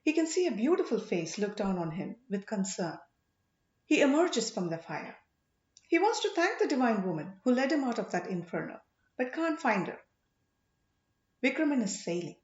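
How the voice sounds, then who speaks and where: A woman narrating an audiobook story dramatically, in measured short phrases with emphasis. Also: on the high side, very animated, a little mellow. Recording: echoey room, slightly muffled sound.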